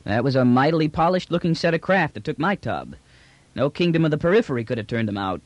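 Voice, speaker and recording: A man's voice: on the high side, sing-song, quick pace, dry recording, highs cut off, voice pushed up. A man voicing a brash, unruffled male space trader with a cheeky, slangy, colloquial manner in an audiobook. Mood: surprised, wry. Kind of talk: audiobook character dialogue.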